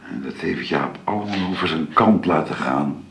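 Zeer diepe, krakende, zorgzame vader. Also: male voice, rumbling low, lively intonation, normal speed, gravelly, heavily acted. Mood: dismayed. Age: middle-aged or older. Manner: gentle, solemn. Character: caring, grave father, fatherly and principled. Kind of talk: Dutch radio drama acting. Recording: roomy, faintly noisy radio play recording.

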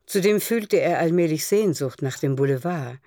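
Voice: mid-range voice, expressive, normal speed, mellow, no echo, clean studio sound. A narrator reading scripted literary prose in a radio drama. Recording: music underneath. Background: music.

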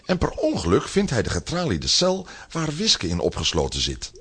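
A man narrating a children's radio play from a script, with a husky voice and animated storytelling. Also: medium pitch, moderate pace, background noise.

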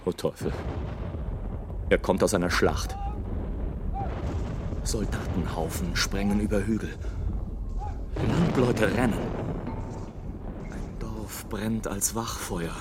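An actor playing a horrified, bitter balloonist in a radio play monologue, declaiming in literary language with dramatic pauses. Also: male voice, fairly low pitch, background noise. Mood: grim horror. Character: horrified balloonist watching a battle, bitter, misanthropic man. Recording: studio radio play with music. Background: music.

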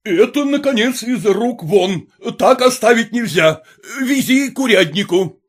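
A man voicing an irritable, pompous gentleman husband who blusters at peasants. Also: high voice, sing-song, measured pace, slightly rough, full character voice. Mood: indignant. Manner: indignant, blustering.

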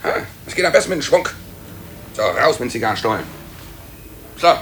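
A man's voice: medium pitch, big pitch swings, a little fast, fairly bright tone. An actor playing a breezy, sporty younger male office colleague with a chatty, colloquial manner in a 1950s German radio play. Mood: brisk, upbeat. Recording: faintly noisy old cassette radio copy.

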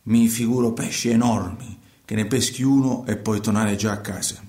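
A man playing a grumbling, restless young emigrant in a radio theatre monologue, colloquial and halting. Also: on the low side, steady tone, warm tone, lightly acted. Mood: musing. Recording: roomy, echoing sound.

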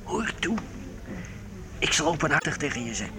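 A man's voice: a little high, big pitch swings, moderate pace, noisy background. A man acting in a period radio drama as an affable, persuasive, scheming servant of a medieval nobleman. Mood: conspiratorial, persuasive. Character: scheming, smooth-talking medieval nobleman's servant offering bribes. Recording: tavern or street crowd behind voice.

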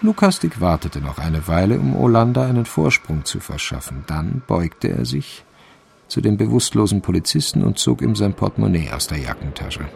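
An actor as a dry, deadpan male narrator of a crime radio play. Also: on the low side, normal speed, vocal fry, a little hiss or hum, full character voice. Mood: reflective. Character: dry, wry male storyteller of a crime tale. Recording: faint music behind the voice.

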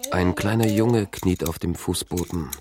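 An actor playing a brooding, haunted man in a radio drama, speaking in a measured, subdued way. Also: low-pitched, a crowd in the background. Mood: brooding, reflective. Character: brooding man haunted by fragmentary childhood memories. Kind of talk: introspective radio drama monologue and dialogue.

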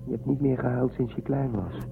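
An actor playing a gentle young man in a science fiction radio drama, earnest and coaxing. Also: medium pitch, a little fast. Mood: pleading. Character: curious, pleading young man raised in space, gentle. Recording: thin phone-like radio recording, clear noise.

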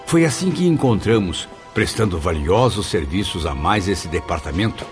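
Narrador brasileiro grave e expressivo. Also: male voice, low voice, sing-song, normal speed, music in the background. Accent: Brazilian. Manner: formal, literary. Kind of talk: scripted radio drama narration.

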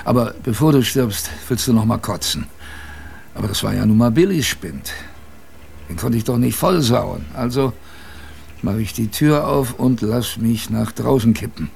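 Gravelly old seafarer telling his story , played by a man. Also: mid-range voice, darkish tone, lightly acted. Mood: wry reminiscing. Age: older. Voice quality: gravelly, creaky. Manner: colloquial, unhurried raconteur. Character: old seafarer Peter Blackborough reminiscing about his youth. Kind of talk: radio drama narration.

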